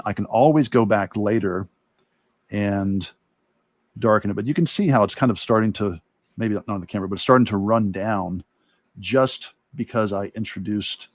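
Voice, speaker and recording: Low-voiced man demonstrating painting. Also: no room sound, studio quality. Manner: thinking aloud in loose, broken asides while working. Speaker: illustrator giving a live painting demonstration. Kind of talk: casual instructional talk while working.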